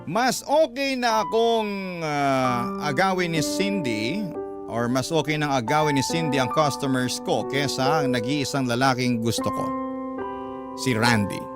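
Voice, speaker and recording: A man's voice: a little high, animated, unhurried. A man hosting a radio love-story show, narrating a listener's letter in the first person in a confiding, conversational way. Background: prominent background music.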